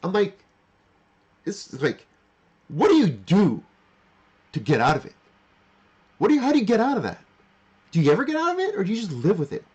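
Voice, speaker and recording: A man in his forties thinks out loud on a livestream. His pitch moves up and down a lot, and his voice has a husky edge. He speaks in halting, emphatic bursts, circling back to rephrase what he just said. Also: wildly swooping pitch, dull audio. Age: 40s. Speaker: livestream host. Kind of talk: unscripted reflective monologue to live chat.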